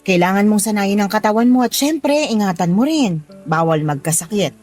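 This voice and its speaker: An actress playing a kindly married boss in a Filipino radio drama, giving firm, nurturing advice in a Filipino accent. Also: female voice, mid-range voice, expressive, moderate pace, darkish tone, close to an everyday voice. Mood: cautionary. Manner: advising, nurturing, firm reminders. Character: kindly, motherly married female boss teaching street-food vending. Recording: music playing behind the voice.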